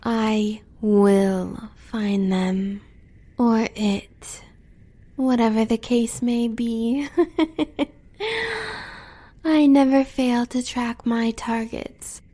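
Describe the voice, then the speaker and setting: An American woman playing a sly, devoted werewolf huntress in an audio roleplay, teasing and breathy. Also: on the high side, fairly even intonation, warm tone. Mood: confident, predatory. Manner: teasing, breathy, drawn-out delivery.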